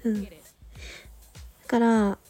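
Female solo radio host; high-pitched, mellow, breathy delivery, unscripted and conversational. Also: flat delivery, slow pace, dry recording, soft background music. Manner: conversational, thinking aloud, frequent soft agreeing particles. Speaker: host of a solo audio show. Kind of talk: casual monologue on a chosen theme.

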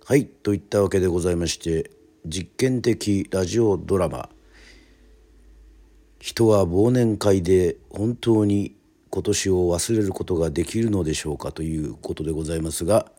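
A man hosting and narrating a radio drama, speaking in polite, formal, measured storyteller phrasing. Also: low-pitched, dark tone.

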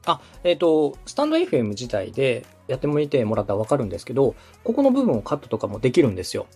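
Male podcast coach: quick, lively pitch swings, slightly husky, polite explainer, light music behind. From Osaka, Japan. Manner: explanatory, polite, organized step-by-step advice. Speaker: podcast host and radio director advising a beginner.

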